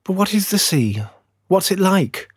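A man's voice: high voice, big pitch swings, a little slow, slightly rough, no echo, clean studio sound, pitched above the performer's own voice, full character voice. A man voicing a young little fir tree from a storybook, wistful, eager and vain, with a plaintive way of talking. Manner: plaintive, yearning questions and boasts.

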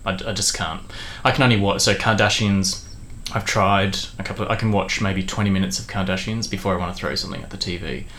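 Blunt man, lowish voice, faint background noise. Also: steady tone, quick pace, a bit bright. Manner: blunt, sweary. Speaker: podcast co-host. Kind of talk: casual banter between friends. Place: home study.

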